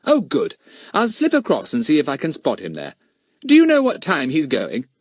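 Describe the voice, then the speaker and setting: A man voicing a confident, cheeky boy detective in a children's storybook, brisk and well-mannered. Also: a little high, huge pitch swings, no echo, studio quality, pitched above the performer's own voice, full character voice. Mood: friendly, inquiring.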